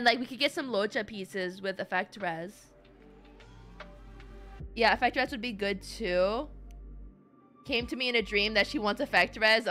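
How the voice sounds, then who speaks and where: A woman game streamer with a fairly high, bright voice. She chats casually and playfully with her viewers, addressing them directly in an animated way. Faint game music plays behind her. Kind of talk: casual livestream chat over gameplay.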